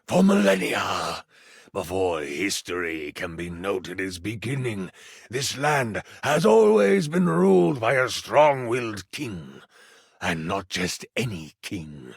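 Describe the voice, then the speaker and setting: An actor playing an ancient, evil king in a video game, cold and menacing, with a gravelly, husky voice and grandiose delivery. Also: lowish voice, big pitch swings, no echo, studio quality, heavily acted. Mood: grandiose, brooding. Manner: slow, grandiose monologuing. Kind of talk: video game villain acting.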